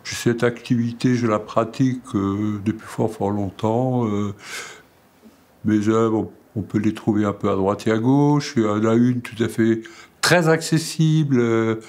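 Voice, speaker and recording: A man in his eighties from Alsace, whose first language is Alsatian, speaks haltingly about his life, with many fillers and repeated words. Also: lowish voice, fairly even intonation, a little mellow. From Alsace, France. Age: 80s. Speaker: painter and sculptor interviewed. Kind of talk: hesitant reflective monologue.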